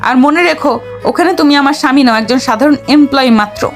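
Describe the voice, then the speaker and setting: High-pitched haughty young heiress played by a woman. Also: brisk, soft background music. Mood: scolding, haughty. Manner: assertive, coy with elders, sharp with husband. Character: haughty, bossy young heiress, newly married, sweet-talking her grandfather. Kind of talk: audio story acting.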